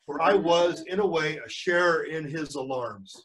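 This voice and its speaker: An older man reading a storybook aloud as a grandfather would, pausing for chatty asides and questions to young listeners. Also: on the high side, fairly bright tone, recorded from a distance, rough recording. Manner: pauses to quiz the listeners.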